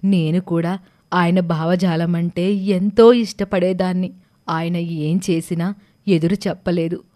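Grave, kindly schoolmaster's widow for a cartoon, played by a woman: lowish, measured, earnest. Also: steady tone, mellow. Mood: earnest, sorrowful. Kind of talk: cartoon story voice acting.

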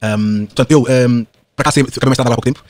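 A man in his twenties speaks with a European Portuguese accent at a rapid clip. His casual podcast talk is animated and full of slang, fillers and tag questions. The sound is dry and close, without room echo. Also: medium pitch. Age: 20s. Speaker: podcast guest.

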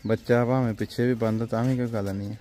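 A man hosts a livestock video, his voice at a mid pitch. He talks in a friendly, promotional way with short acknowledgments. There is faint outdoor noise behind him in the farmyard. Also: fairly even intonation, quick pace, dark tone. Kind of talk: casual interview.

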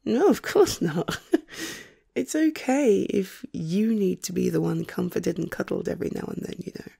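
A woman playing a sleepy, tender, playful girlfriend in an ASMR roleplay, speaking softly and coaxingly with a breathy, often whispered delivery. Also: mid-range voice, expressive, full character voice. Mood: soothing, intimate. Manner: soft, coaxing, affectionate, unhurried.

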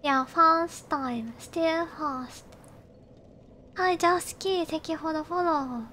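A woman's voice: very high-pitched, takes it slowly, bright, forceful tone, slight background noise. A woman from Japan with a Japanese accent, chatting casually and politely with viewers as she livestreams a game. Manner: polite, thanks and greets viewers often. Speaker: game streamer. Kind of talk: casual livestream chat while gaming.

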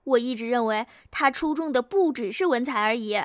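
A young woman playing a lively, bossy, teasing high school girl in an amateur audio drama. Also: fairly quick, no echo, studio quality, close to an everyday voice. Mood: matter-of-fact, friendly.

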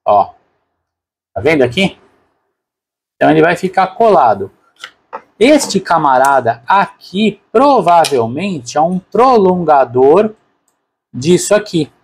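A man's voice: a little high, very animated, slow, long pauses, slight background noise. A man with a Brazilian Portuguese accent narrating a product unboxing casually and unscripted, informally thinking aloud as a reviewer.

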